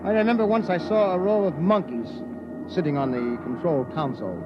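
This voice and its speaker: A man's voice: on the high side, brisk, over music, lightly acted. An actor playing a weary, authoritative male starship captain, clipped and brooding, in 1950s radio drama style. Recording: noisy, muffled vintage radio recording.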